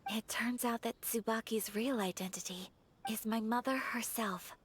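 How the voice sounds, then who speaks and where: A woman voicing a gentle, polite young noblewoman in a video game who speaks softly and formally, at times in a whisper. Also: fairly high pitch, fairly even intonation, normal speed, slight background noise, natural voice. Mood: gentle, thoughtful. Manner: soft-spoken and formal, often whispering.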